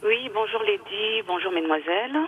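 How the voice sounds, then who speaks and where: A woman in her thirties calling in to a radio show, talking earnestly and hesitantly, with many pauses. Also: medium pitch, crowd noise. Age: 30s. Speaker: caller. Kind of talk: radio call-in comment. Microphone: telephone. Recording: phone line.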